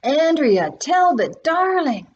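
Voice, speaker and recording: Woman voicing an effusive, high-pitched elderly great-aunt. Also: very animated, takes it slowly, dull audio, slight background noise, heavily acted. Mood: gushing delight. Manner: gushing, exclaiming. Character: eccentric, effusive great-aunt in her eighties, fluttery and loud.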